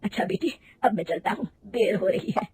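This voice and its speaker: A woman doing a kindly old clerk, husky. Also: mid-range voice, a little fast, dark tone. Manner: friendly, confiding, worried.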